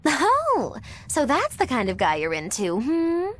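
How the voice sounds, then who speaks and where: A woman's voice: high voice, huge pitch swings, unhurried, heavily acted. A woman voicing a mischievous, blunt young girl in an English anime game dub, playful and teasing in her delivery.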